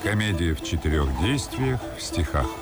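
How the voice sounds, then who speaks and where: Deep-voiced male radio drama narrator. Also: expressive, moderate pace. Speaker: narrator reading stage directions. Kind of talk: scripted radio drama narration, measured and theatrical. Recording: radio narration over loud music.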